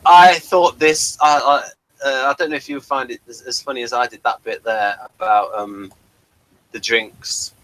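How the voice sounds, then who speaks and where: A man's voice: mid-range voice, fairly even intonation, moderate pace, a bit bright, low-quality recording. A man chatting casually as a co-host, often repeating words as he stammers along. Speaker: podcast co-host reviewing a TV series. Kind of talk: casual chat.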